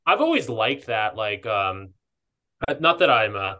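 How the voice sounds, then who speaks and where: A man in a casual, reflective podcast conversation, speaking as a host in a halting, thoughtful way and often rephrasing his ideas. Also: lowish voice, big pitch swings, bright, forceful tone.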